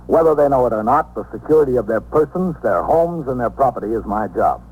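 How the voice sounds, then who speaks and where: A man playing a brisk, authoritative police captain in a 1950s radio drama, with a clipped, businesslike way of talking. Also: mid-range voice, expressive, husky, lo-fi sound. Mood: sober, measured. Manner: clipped, businesslike, terse questioning. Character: brisk, authoritative New York police captain, narrating and questioning. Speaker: lead actor and narrator in police drama. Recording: noisy 1950s radio broadcast recording.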